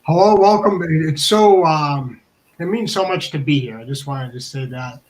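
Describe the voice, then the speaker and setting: Hesitant male storyteller, slightly high voice. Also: darkish tone, rough recording. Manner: hesitant, halting, repeats words. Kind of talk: informal personal storytelling.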